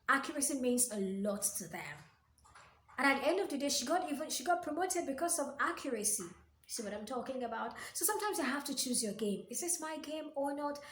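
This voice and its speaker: A woman's voice: high voice, reverberant. A woman giving motivational workplace advice straight to the listener, earnest and fond of repeating her key phrases. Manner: addresses the listener directly with questions. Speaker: workplace coach and video host. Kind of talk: motivational advice talk to camera.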